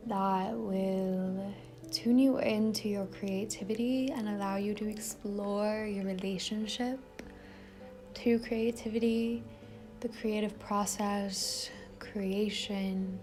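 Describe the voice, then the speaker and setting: Soft woman doing a rambly guided meditation, really slow and mellow, faint music behind. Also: medium pitch. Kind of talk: impromptu guided meditation with casual rambling introduction.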